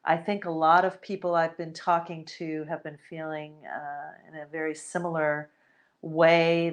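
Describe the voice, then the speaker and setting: A woman from the United States hosting her own spiritual podcast, talking off the cuff in a reflective, meandering way with many fillers and restarts. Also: lowish voice. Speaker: podcast host, shamanic healer. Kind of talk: spontaneous spiritual podcast talk.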